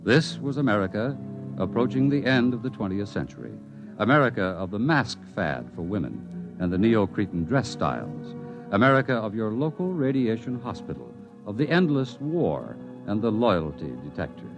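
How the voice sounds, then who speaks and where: A man narrating a futuristic 1950s radio drama in a measured, wry way over music. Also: fairly low pitch, big pitch swings. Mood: grave, ominous.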